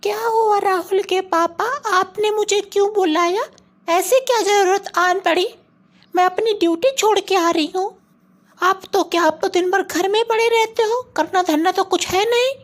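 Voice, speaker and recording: A woman's voice: very high voice, normal speed. A woman voicing a brusque, nagging working mother and scornful wife in a comic cartoon, scolding and dismissive. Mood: impatient.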